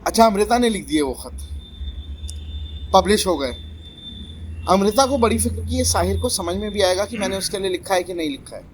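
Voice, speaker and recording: A man's voice: high-pitched, lively intonation, normal speed, long pauses, slight background noise, low-quality recording. A man hosting a casual chat about poetry, telling stories with animation and teasing his guests.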